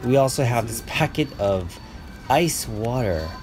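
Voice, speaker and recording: A man's voice: medium pitch, expressive, measured pace, over music, rough recording. A man in his forties narrating a casual vlog, explaining food and his neighborhood to viewers in a chatty way. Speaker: vlogger.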